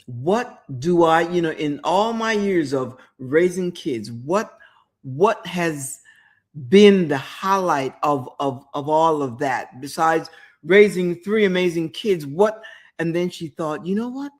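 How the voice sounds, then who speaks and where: Woman talk-show host, motivational storyteller, slow and expressive delivery, emphatic repetition, direct address to the audience. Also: low voice. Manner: emphatic, repeats words for effect, addresses listener directly; storytelling with quoted inner thoughts.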